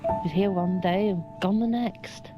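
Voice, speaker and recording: A woman's voice: medium pitch, fairly even intonation, a little slow, natural voice. An actress playing a wounded middle-aged mother in naturalistic film dialogue, pointed and dryly sarcastic. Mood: hurt, reproachful. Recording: dull sound, loud music behind. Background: instrumental music.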